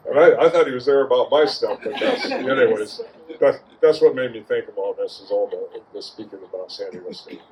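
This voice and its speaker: A man giving an informal, anecdotal tribute at a memorial, speaking haltingly and repeating words. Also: medium pitch, darkish tone. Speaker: memorial speaker, friend of the deceased. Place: outdoor garden gathering. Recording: dull lo-fi audio with clear noise.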